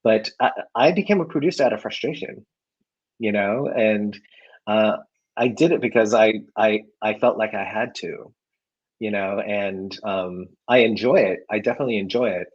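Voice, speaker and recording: A man's voice: fairly low pitch, moderate pace, lo-fi sound. A man raised in the United States, answering interview questions in a hesitant way with many restarts and fillers. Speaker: guest filmmaker.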